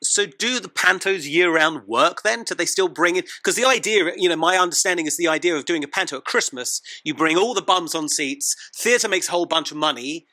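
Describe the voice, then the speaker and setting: Bright, lively higher-pitched male interviewer. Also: fairly quick, few pauses. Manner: curious, attentive, tentative phrasing. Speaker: interviewer, host. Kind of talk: casual interview questions.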